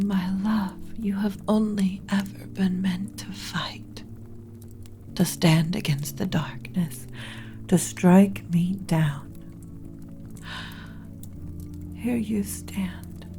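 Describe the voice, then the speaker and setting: A woman's voice: mid-range voice, steady tone, slow, warm tone. A woman playing an ancient, yearning undead lich queen in an intimate, whispery ASMR romance roleplay, speaking close to the mic with solemn, formal drama. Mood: aching, pleading. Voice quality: breathy, whispery. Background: music.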